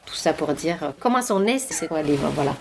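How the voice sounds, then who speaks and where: Woman, Finnish accent, non-native speaker, very low pitch, halting delivery, faint background noise. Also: lively intonation. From Finland. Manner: hesitant, many fillers and restarts. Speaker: interviewed author and journalist. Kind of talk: public talk at a library. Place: library meeting room.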